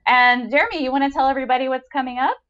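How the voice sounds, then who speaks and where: A woman's voice: high-pitched, very fast, fairly bright tone. Female podcast host, gushing and keen, conversational delivery, somewhat dull recording. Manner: effusive, enthusiastic. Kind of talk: casual podcast chat.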